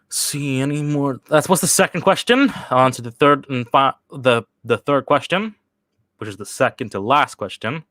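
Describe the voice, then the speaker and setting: A young man from Sweden talks loosely to his live chat, reading questions aloud. He stumbles, restarts and fills gaps with "uh" and "like". His voice sits at an ordinary pitch, recorded close on a desk mic with no room echo. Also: a bit bright. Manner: rambling, halting, with many restarts and fillers. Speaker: channel host answering live chat questions. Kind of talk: casual livestream chat.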